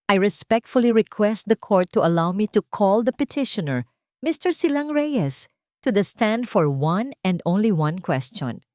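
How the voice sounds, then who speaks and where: Woman voicing a calm, authoritative male defense lawyer. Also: mid-range voice, no room sound, studio quality. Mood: measured, firm. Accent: Filipino. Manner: measured, formal, deliberate.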